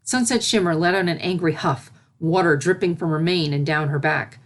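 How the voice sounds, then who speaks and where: Woman reading a story out loud, kinda low voice, narrator style. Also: moderate pace.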